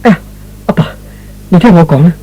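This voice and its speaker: Man acting a remorseful, expressive young son. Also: fairly high pitch, fairly quick, muffled recording, a little hiss or hum, close to an everyday voice. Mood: pleading. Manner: earnest, pleading. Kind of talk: Cantonese radio drama acting.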